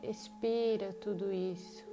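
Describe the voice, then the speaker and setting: A Brazilian woman guides a meditation at a very slow pace. Her delivery is even, with little rise and fall, and she leaves long pauses between short phrases. Clear meditative music with singing bowls plays behind her voice. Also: medium pitch.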